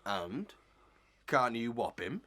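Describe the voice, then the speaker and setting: A blustering, pompous old village schoolmaster in Victorian melodrama. His bright, forceful voice swoops widely in pitch and takes its time, with theatrical hems and drawn-out words. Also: big pitch swings, exaggerated voice. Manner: theatrical, hemming, drawn-out, full of stock sayings. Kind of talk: Victorian melodrama audio acting.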